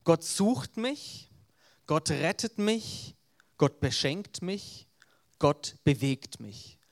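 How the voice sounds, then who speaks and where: A man with a Swabian accent, an evangelist giving an earnest evangelistic sermon with storytelling. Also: high-pitched.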